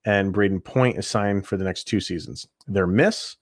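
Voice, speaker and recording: A man's voice: low-pitched, moderate pace, no room sound, studio quality. A man hosting a sports show, giving casual, opinionated commentary in a conversational way, thinking aloud as he goes. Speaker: sports podcast host.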